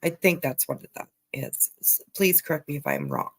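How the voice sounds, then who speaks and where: A woman giving casual, halting commentary as host of a livestream. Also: low voice, wildly swooping pitch, gravelly, slightly rough. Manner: halting, hesitant delivery with trailing asides. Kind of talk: casual livestream commentary over played clips.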